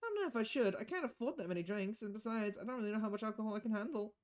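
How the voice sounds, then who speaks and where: A woman's voice: on the high side, expressive, racing, darkish tone, close to an everyday voice. A woman voicing an earnest, modest female knight, chatting in a friendly way in a video game.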